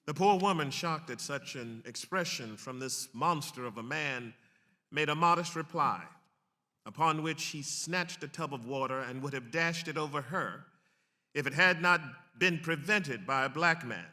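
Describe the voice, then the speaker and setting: A man's voice: on the high side, moderate pace, pushed and bright. A man giving a grave, declamatory dramatic reading of a historical text, as an actor on a stage. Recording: echoey hall with long reverb.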